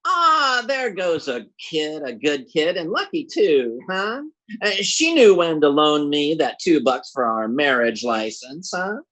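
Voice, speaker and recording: A man's voice: fairly high pitch, big pitch swings, unhurried, a bit bright, low-quality recording. A man playing a bumbling, scheming husband in classic radio comedy, with a folksy, stammering, blustering delivery. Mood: wistful.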